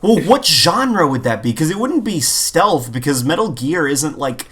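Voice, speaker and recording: A man's voice: a little high, sing-song, unhurried, a bit bright, breathy edge. A man co-hosting a gaming podcast in casual chat, rambling with opinionated exasperation. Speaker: podcast co-host.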